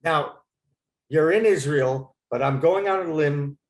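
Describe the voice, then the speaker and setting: A man's voice: on the high side, unhurried. An American man in his fifties hosting an interview, asking chatty, rambling questions. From United States. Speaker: host. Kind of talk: conversational interview.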